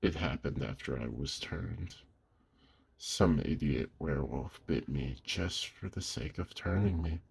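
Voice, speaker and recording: Old lone werewolf, played by a man: rock-bottom pitch, heavily raspy, weary, protective and tender under the gruffness, close ASMR audio drama. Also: heavily acted. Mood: reflective, weary. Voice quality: very deep, husky, gravelly. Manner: slow, hushed, with long pauses. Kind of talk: intimate ASMR audio roleplay.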